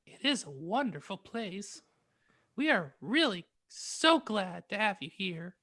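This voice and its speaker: Woman voicing a shy, prim, pious young schoolgirl, soft-spoken, lively pitch. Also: slow pace, exaggerated voice. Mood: shy earnest. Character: sweet devout eleven-year-old girl. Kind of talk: reading fan fiction dialogue aloud.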